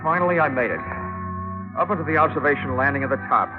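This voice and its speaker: A man's voice: on the high side, lively intonation, fast talker, natural voice. Guy acting wisecracking american radio detective from the 40s, slangy and wry, dull old recording w music. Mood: tense wry narration. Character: wisecracking hardboiled male insurance investigator narrating his case.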